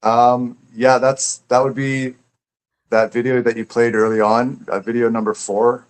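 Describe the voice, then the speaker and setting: A man speaking casually as an interviewed poet, reflective and halting as he searches for his words. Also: mid-range voice, little pitch movement, smooth. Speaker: poet and author being interviewed. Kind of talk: casual conversation about own writing. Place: live online stream.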